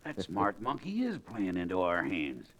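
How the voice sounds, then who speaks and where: An actor playing an excitable, slow-witted American outlaw henchman with a gravelly, husky voice in a radio western. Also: sits low, exaggerated voice. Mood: astonished. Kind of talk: old-time radio western acting.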